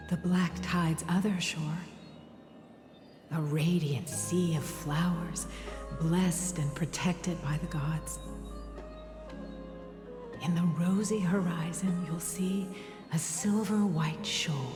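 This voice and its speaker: A woman playing a gentle, tender mother in a game, speaking softly and breathily in a soothing way. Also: low-pitched, slow pace, long pauses. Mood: tender, wistful. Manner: soft, breathy, soothing delivery. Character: gentle, tender mother telling her child of a haven. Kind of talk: dramatic game voice acting. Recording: echoing long reverb, music behind.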